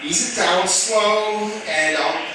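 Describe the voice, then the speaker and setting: An actor playing a brave, earnest firefighter, muttering with effort and calling out in a radio drama. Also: male voice, sits high, slow. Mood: strained. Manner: effortful muttering, calls out to others. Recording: echoey, distant, clearly noisy.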